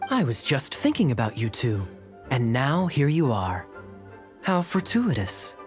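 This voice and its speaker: A suave officer in a game, smooth-talking and wry. His mid-range voice moves up and down freely through formal, playful phrases. Soft music sits behind him. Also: medium pitch, wildly swooping pitch, unhurried. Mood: wry, charming. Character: suave, unhurried male officer with playful charm. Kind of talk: video game voice acting. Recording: music over the dialogue.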